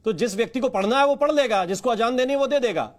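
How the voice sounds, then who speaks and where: High-pitched male debate anchor, pressing. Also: expressive, fast talker. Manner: insistent, challenging. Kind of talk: panel debate moderation, pointed questioning.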